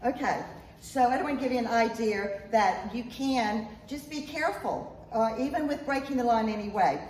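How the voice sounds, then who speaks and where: Woman speaker, emphatic public talk, slightly high voice, faint room noise. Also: moderate pace, a little mellow, echoey room, recorded from a distance, low-quality recording. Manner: earnest, emphatic, repeats points. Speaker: author speaking at a local event. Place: event room, echoey. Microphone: handheld microphone, at times off mic.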